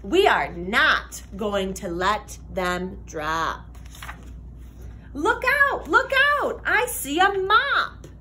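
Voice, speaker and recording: A young woman reading a children's picture book aloud like a teacher, expressive, sing-song and deliberate. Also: medium pitch, slight background noise. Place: classroom, indoors.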